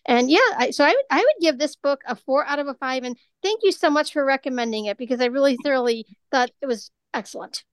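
Enthusiastic lady in a book club chat, highish voice, no room echo. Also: lively intonation, fast, hardly any pauses. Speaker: book group member. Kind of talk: casual book discussion.

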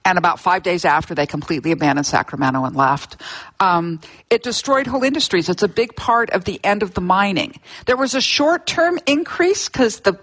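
An older woman from Southern California speaks as an interview guest, her voice sitting somewhat low. She tells history in a plain, explanatory way, often restarting words mid-phrase. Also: animated, brisk, fairly bright tone, poor audio. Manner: explanatory, with frequent restarts and fillers. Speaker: seismologist guest discussing her book. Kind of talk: relaxed interview storytelling.

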